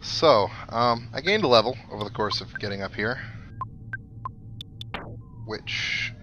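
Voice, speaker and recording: A man's voice: lowish voice, animated, a little slow, rough recording. A man hosting a casual let's play, chatting to viewers and thinking aloud with some wry joking. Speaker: gaming video host. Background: video game music.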